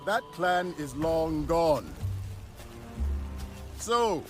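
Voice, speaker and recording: A man's voice: fairly high pitch, lively intonation, drawn-out words, music in the background. An actor playing a proud, suspicious male clan enforcer who talks down to strangers with scorn. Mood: hostile. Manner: scornful, commanding.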